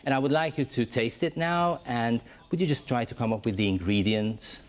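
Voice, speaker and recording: Upbeat male show host. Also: mid-range voice. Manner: upbeat, encouraging, quick to praise. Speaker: host of a language-learning TV show. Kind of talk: teacher-style hosting. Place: TV studio with students.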